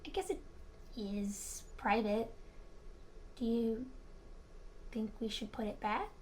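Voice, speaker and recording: A woman's voice: fairly high pitch, expressive, takes it slowly, a little mellow, a little hiss or hum, low-quality recording. A woman playing an earnest, curious and polite young girl with a tentative manner in an audio drama. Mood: hesitant.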